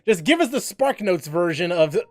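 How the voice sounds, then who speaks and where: A woman's voice: lowish voice, sing-song, moderate pace, a little mellow, dry recording, studio quality. A woman streamer giving casual, sweary, reactive commentary while playing a game. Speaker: gaming streamer.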